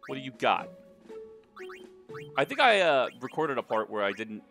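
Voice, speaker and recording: A man's voice: medium pitch, very animated, normal speed, fairly bright tone, soft background music. A man hosting a let's play, giving casual, rambling commentary with jokes and swearing.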